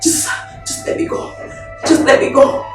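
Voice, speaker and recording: A woman's voice: normal speed, distant mic, close to an everyday voice. An actress with a Nigerian accent playing a terrified, wealthy captive woman who begs and stammers in a film drama. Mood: desperate, tearful. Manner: begging, stammering, repetitive. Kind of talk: melodramatic film acting. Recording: echoey, off-mic, music underneath.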